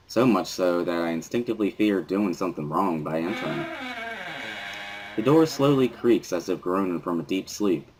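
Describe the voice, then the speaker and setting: Low-voiced man narrating dramatically. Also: poor audio. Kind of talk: dramatic first-person reading of a visual novel.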